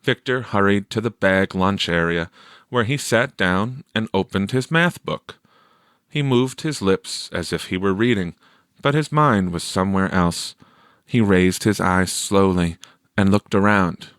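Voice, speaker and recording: Low-voiced, unhurried male story narrator. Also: a bit bright, clear, resonant tone, no echo, studio quality. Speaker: audiobook reader.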